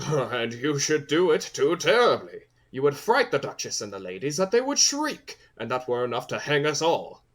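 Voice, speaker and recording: A man's voice: mid-range voice, sing-song, moderate pace, hardly any pauses, a bit bright. A man playing a fussy, coaxing workman who directs amateur players, earnest and persuasive, in a comic Shakespearean audio play. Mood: worried.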